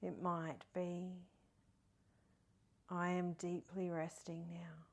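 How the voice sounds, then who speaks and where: A woman leading a guided meditation in a soft, breathy, partly whispery voice, soothing and gentle with long pauses. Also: lowish voice, little pitch movement. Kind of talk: guided body scan meditation.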